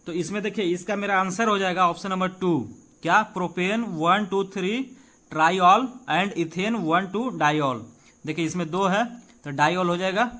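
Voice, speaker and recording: An Indian man with a notably high voice gives an exam-prep lesson. He talks informally, goes back over key points and keeps checking that his listeners follow. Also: fairly bright tone. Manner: reads questions slowly and deliberately in English, then explains in Hindi. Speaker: teacher. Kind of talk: exam-prep chemistry lesson, explaining questions aloud.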